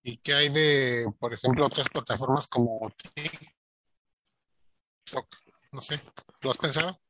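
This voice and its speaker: A man's voice: medium pitch, measured pace, noisy background. A man hosting a podcast in casual chat, rambling and filled with filler words. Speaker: podcast host.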